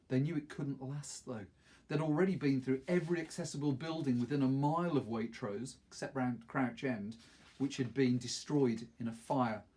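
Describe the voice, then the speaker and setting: A middle-aged man with a husky voice, reading a novel aloud expressively. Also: mid-range voice, darkish tone. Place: small wood-panelled study, indoors.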